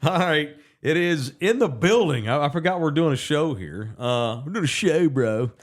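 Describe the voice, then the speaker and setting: A middle-aged man, chatting casually as a podcast co-host, animated and quick to laugh. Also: fairly high pitch. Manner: animated, laughs often, jokey. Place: sports bar studio.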